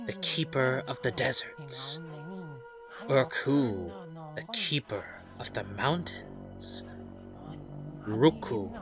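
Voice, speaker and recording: Slow-paced game narrator over music. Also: mid-range voice. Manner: measured dramatic reading broken by offhand, rambling asides. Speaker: let's play host. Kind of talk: reading game story aloud with casual commentary. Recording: music under the voice.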